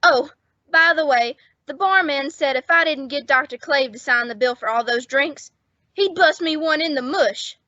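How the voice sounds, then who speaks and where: A woman's voice: high-pitched, moderate pace, bright, forceful tone, no room sound, lo-fi sound. A woman playing an eager, earnest, slightly stammering young bellboy in an old-time radio comedy.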